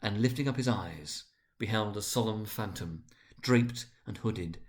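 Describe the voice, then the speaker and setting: A man narrating a literary story dramatically, with deliberate, expressive phrasing. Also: fairly low pitch.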